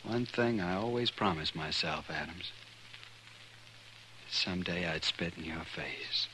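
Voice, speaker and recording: A man's voice: deep voice, unhurried. An actor playing a coldly bitter, vengeful man in a 1950s radio western. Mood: cold menace. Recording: old radio recording with faint hiss.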